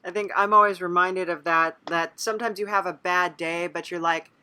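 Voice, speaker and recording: A woman's voice: on the low side, fairly even intonation, moderate pace, sharp tone, clear, resonant tone. A woman hosting a casual podcast interview in an agreeable, conversational way. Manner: agreeable, frequent short acknowledgements.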